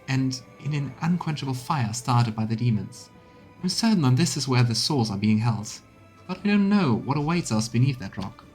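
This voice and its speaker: A man's voice: medium pitch, close to an everyday voice. A man reading game dialogue aloud as an earnest, determined cleric who reports to a commander with emphatic phrasing. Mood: solemn.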